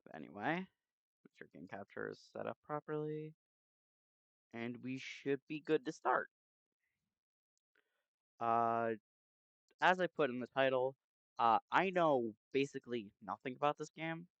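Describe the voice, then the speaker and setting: A man chatting casually and haltingly as he hosts a livestream, speaking into a headset mic. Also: medium pitch, lively intonation, creaky, a bit bright, dry recording, dull audio. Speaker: game streamer, host. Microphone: new headset microphone.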